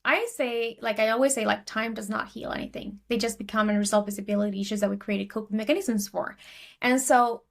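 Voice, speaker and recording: Argentinian-accented woman guest, loose chatty delivery, higher pitch. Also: fairly even intonation, fairly quick, vocal fry. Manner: chatty, many fillers and restarts. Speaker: podcast guest. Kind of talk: casual interview talk.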